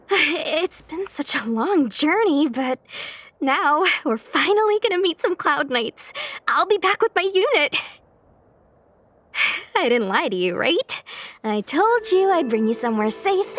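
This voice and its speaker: A woman's voice: sits high, very animated, a little slow, fairly bright tone, no room sound, heavily acted. A woman voicing an earnest, eager young woman soldier in an anime game, bubbly and easily flustered. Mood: cheerful, proud. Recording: music behind the voice.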